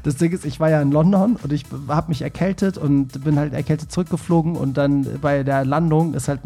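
A man's voice: medium pitch, creaky, mellow. A German man hosting a podcast in casual chat, a little nasal from a cold, laughing often and filling with ums. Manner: chatty, laughs often, many fillers. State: has a cold, slightly nasal. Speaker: podcast host.

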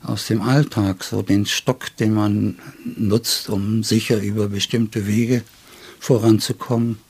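A man in his seventies speaking reflectively as an interview guest, phrasing deliberately and haltingly with ornate word choices. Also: fairly low pitch, fairly even intonation, a little mellow, husky. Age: 70s. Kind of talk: reflective literary conversation.

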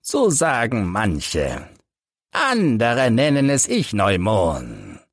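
A man voicing an embittered old crippled soldier who tells his tale in a halting, dramatic way for an audiobook. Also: on the low side, wildly swooping pitch, no echo, studio quality, pitched above the performer's own voice, exaggerated voice. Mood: proud, plain. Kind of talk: audiobook character reading.

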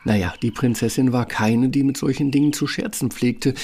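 A man's voice: medium pitch, steady tone, normal speed, a little mellow. A man narrating a fairytale radio play as a scripted storyteller.

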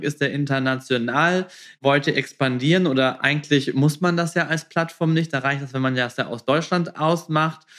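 A man's voice: fairly high pitch, fairly bright tone. A man hosting a podcast interview, asking casual questions in a colloquial way with some English slang.

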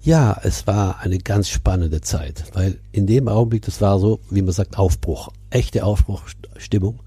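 A man in his seventies from Milan, telling his life story reflectively and deliberately as a podcast guest. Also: on the low side, dark tone, breathy edge, dry recording. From Milan, Italy. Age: 70s. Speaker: coach, interview guest.

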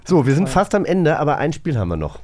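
Fast-talking man, dry close sound. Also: a little high, sing-song, a little mellow, dry recording. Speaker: co-host of an audio drama magazine. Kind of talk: casual chat.

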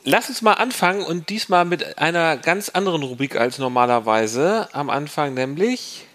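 A man's voice: on the high side, lively intonation, normal speed, background noise. A man co-hosting a casual football podcast, talking loosely with frequent restarts and fillers. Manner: chatty, many restarts and fillers. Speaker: podcast co-host.

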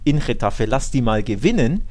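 A man with a medium-pitched voice hosts a casual football podcast. He talks in a colloquial, opinionated way. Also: big pitch swings, normal speed, a little mellow, a little hiss or hum. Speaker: podcast host.

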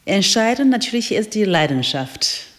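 A woman's voice: medium pitch, big pitch swings, moderate pace, a bit bright, big-room sound. Woman. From China. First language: Chinese. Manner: simple, slightly non-native phrasing.

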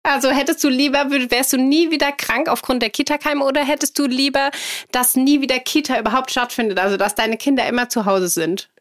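A woman in her thirties co-hosting a parenting podcast, hoarse from a cold, chatty and self-deprecating. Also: sits high, fairly even intonation, fairly quick. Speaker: podcast co-host. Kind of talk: casual podcast chat.

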